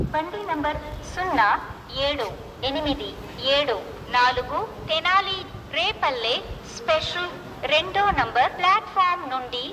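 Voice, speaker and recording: A woman giving formal, measured train announcements in segmented, pieced-together phrases over station loudspeakers, in a noisy recording. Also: high voice. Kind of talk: formal multilingual train arrival and departure announcement.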